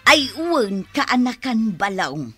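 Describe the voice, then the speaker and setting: A woman playing a chatty, wheedling older village aunt in an Ilocano comedy radio drama, coaxing with drawn-out exclamations. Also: a little high, very animated, sharp tone, faint music behind, heavily acted.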